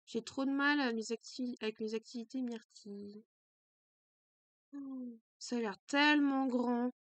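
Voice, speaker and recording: A young woman streaming a game, chatting casually and excitably with a stuffy, congested nose, at a desk mic in a small room. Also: fairly high pitch, a little slow, no room sound. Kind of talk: let's play commentary.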